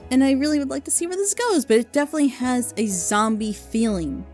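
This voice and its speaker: Female video host, higher voice, informal and enthusiastic recommendations, loosely delivered, faint music underneath. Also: brisk, a little mellow. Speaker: video host recommending fan audio dramas. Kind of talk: casual unscripted review.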